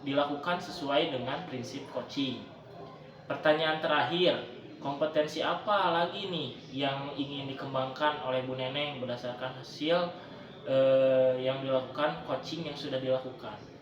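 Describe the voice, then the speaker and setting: A man gives semi-formal feedback as an observer. He is polite and conversational, with a medium-pitched voice. The room is echoey and noisy, and he is some way from the mic. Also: a little slow, recorded from a distance, dull audio.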